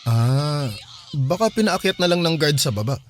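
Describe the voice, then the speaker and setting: An actor with a Filipino accent playing an attentive, reassuring young boyfriend in a radio drama. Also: male voice, on the high side, expressive, moderate pace, faint music behind, lightly acted. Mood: casual.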